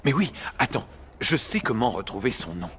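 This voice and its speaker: A man playing a courteous, wistful painter of old Montmartre in an animated series. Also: mid-range voice, moderate pace, background noise, close to an everyday voice. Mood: helpful. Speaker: voice actor. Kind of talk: French animated series dialogue.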